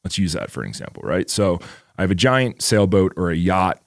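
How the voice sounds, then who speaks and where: A man's voice: sits low, very animated, brisk, creaky, dry recording, studio quality. A man around forty co-hosting a podcast, talking casually in a rambling way with lots of "like" and freely dropped swear words. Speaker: podcast co-host. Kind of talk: casual chat.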